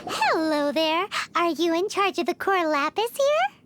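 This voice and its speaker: A squeaky, feisty fairy girl. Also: very animated, unhurried, background noise, heavily acted. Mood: chirpy. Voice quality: squeaky, childlike. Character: tiny, feisty, childlike floating fairy companion girl. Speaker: game character voice actor. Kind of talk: video game dialogue acting. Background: music.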